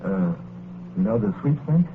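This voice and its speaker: An actor playing a greedy, wheedling gambler in an old-time radio drama, cajoling and flattering in slangy talk. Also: male voice, lowish voice, a little slow. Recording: noisy, tinny vintage radio recording.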